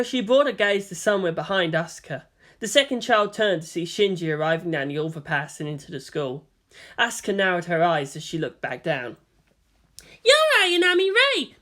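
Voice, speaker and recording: A man reading fan fiction aloud as an expressive audiobook narrator. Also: fairly high pitch, a little fast.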